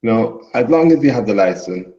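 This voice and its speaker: A man's voice: mid-range voice, lively intonation, moderate pace, dark tone. A man from Jamaica with a Jamaican accent, chatting casually in a group and often restarting his sentences. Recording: dull lo-fi audio.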